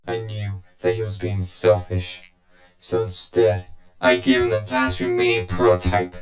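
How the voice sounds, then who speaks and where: An actor voicing a scheming, vengeful game villain who tells his story in a deliberate, condescending, smug way. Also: lowish voice, fairly even intonation, roomy sound, faint music behind, lightly acted. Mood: brooding, scornful. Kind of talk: dramatic video game cutscene monologue.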